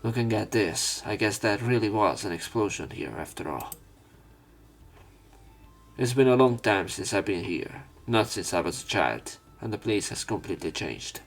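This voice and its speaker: A man's voice: fairly low pitch, expressive, moderate pace. A man reading a visual novel aloud as a let's play narrator, dramatizing the script. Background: background music.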